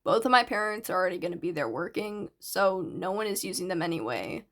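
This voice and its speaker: A woman voicing a shy, soft-spoken teenage schoolboy with a hesitant, subdued manner in an audiobook. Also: lowish voice, animated, a little fast, pitched below the performer's own voice. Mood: quietly earnest. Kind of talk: audiobook character dialogue.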